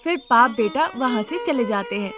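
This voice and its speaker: A woman's voice: a little high, animated, moderate pace, over music. A woman reading a scripted family story as its narrator.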